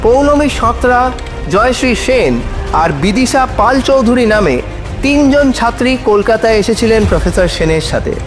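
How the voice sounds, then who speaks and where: A man playing an earnest advocate arguing deliberately and respectfully in court, in an audio drama. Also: very high voice, expressive. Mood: grave, revealing. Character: Aniket, earnest male advocate arguing a trafficking case in court. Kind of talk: Bengali audio drama acting. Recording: dramatic music under the voice.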